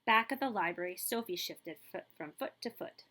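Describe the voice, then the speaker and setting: Bright, animated woman reading a storybook aloud. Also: lowish voice, normal speed. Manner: animated, checks in with listeners. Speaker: librarian reading a picture book aloud. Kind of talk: expressive children's read-aloud with asides to listeners.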